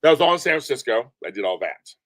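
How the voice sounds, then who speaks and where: Brief: deep-voiced male guest, casual rambling chat, dry close sound, mid pitch. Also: fast talker, no echo. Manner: rambling, repeats himself, animated. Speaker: podcast guest. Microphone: remote call from home.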